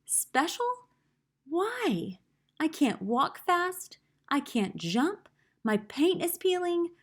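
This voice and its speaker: A woman's voice: high-pitched, sing-song, very slow, voice pushed up, exaggerated voice. Storybook wooden puppet, little male played by female reader: timid, questioning, self-doubting. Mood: timid, pleading.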